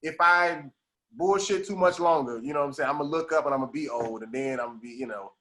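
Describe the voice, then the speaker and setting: A man in his thirties hosting a casual podcast interview, laughing often and chiming in with quick agreements. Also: on the high side, bright, forceful tone. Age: 30s. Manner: laughs often, repeats "right, right". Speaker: podcast host.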